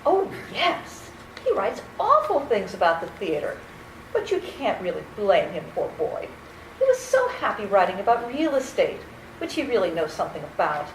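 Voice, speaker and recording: A woman's voice: mid-range voice, huge pitch swings, moderate pace. A woman playing a sweet, daffy elderly aunt in a radio comedy, genteel, fussy and chirpy. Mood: genteel, chatty. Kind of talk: old-time radio comedy acting. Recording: roomy stage recording with faint noise.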